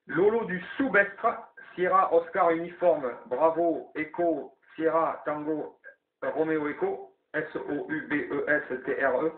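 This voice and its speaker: A man's voice: a little high, unhurried. Man on tinny CB radio. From southwest France. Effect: CB radio transmission. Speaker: amateur CB radio operator running the evening round. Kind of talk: casual CB radio chat. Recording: narrow tinny CB radio signal.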